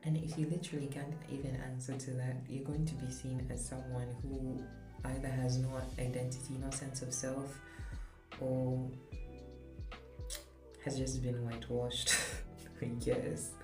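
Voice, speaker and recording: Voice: mid-range voice, flat delivery, measured pace. A young adult vlogger from Zambia, speaking in an earnest, candid opinion monologue with light laughs. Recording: echoey room with background music.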